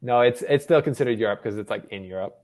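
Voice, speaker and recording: A man's voice: mid-range voice, fast, darkish tone. A man co-hosting a casual sports chat in an upbeat, slangy way. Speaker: sports show co-host.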